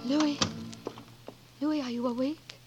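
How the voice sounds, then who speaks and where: An actress playing a scientist's devoted, anxious wife in a 1940s radio drama, speaking with earnest theatrical delivery. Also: female voice, high voice, steady tone, takes it slowly, music in the background. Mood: gentle, reassuring. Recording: faintly noisy 1940s radio broadcast.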